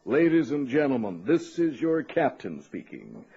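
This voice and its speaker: An actor playing a wry, self-pitying man of about thirty in a radio comedy-drama, with a husky voice and hesitant, sardonic delivery. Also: medium pitch, wildly swooping pitch, unhurried, dark tone, exaggerated voice. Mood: bitter, sardonic. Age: 30s. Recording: dull lo-fi old radio recording.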